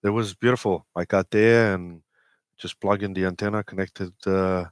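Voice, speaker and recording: A man from Romania talking casually and technically as an interview guest, with enthusiasm and a hesitant, halting delivery. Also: low voice, fairly even intonation, clean tone, no echo, clean studio sound. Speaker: interview guest, amateur radio expeditioner.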